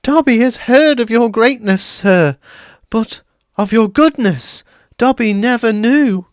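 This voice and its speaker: A man voicing a small, servile and tearful male house elf who talks about himself by name in a high, excitable way. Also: slow pace, clean tone, dry recording, clean studio sound. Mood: earnest.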